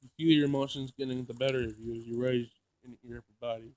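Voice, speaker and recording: A man playing through a visual novel, reading it aloud in an emotional, halting way with dramatic outbursts. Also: medium pitch, steady tone, darkish tone. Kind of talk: let's play narration with loud reactions.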